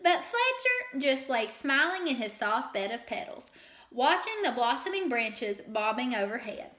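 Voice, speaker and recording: Animated, high-voiced woman reading a storybook. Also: a little slow, echoey room. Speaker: teacher reading a storybook aloud. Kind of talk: expressive children's read-aloud.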